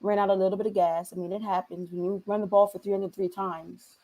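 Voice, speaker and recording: A woman's voice: medium pitch, flat delivery, fast talker, warm tone, low-quality recording. A woman co-hosting a casual sports talk show, chatty and analytic, often trailing off mid-thought.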